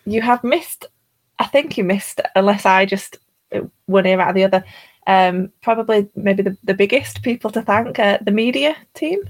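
A woman's voice: mid-range voice, normal speed. A woman co-hosting a livestream, chatting casually and explaining things in a conversational way. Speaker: livestream co-host. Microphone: livestream call microphone.

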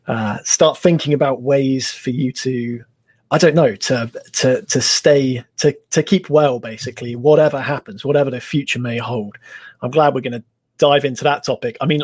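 A hoarse man, a talk show guest, speaking hesitantly and restarting phrases as he thinks. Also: mid-range voice, a little mellow, no echo. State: hoarse from shouting. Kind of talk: casual discussion.

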